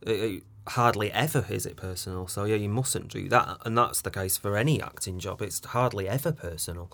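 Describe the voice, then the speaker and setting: Low-voiced man, chatty and hesitant. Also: fairly quick, vocal fry, a bit bright, no room sound. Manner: hesitant, laughs often, many fillers. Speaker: casting director guest. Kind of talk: relaxed podcast interview.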